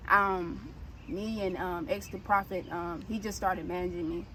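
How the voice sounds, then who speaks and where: A woman answers interview questions. She is laid-back and hesitant and fills her pauses with ums. Steady background noise runs clearly under her voice. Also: on the low side. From South Carolina. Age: 20s. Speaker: rapper, guest.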